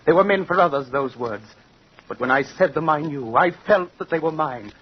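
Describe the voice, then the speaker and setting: An actor playing an ardent, bookish young suitor in an old radio melodrama, speaking with earnest, poetic declamation. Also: male voice, fairly high pitch, animated, normal speed, breathy edge. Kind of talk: vintage radio melodrama acting. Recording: muffled, noisy old radio recording.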